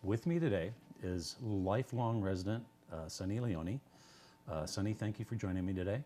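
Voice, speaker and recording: A man with a somewhat low voice hosts a local television interview. He asks his questions casually, often restarting mid-sentence. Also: animated, mellow, slight background noise. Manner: casual, halting, friendly questioning. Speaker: TV host.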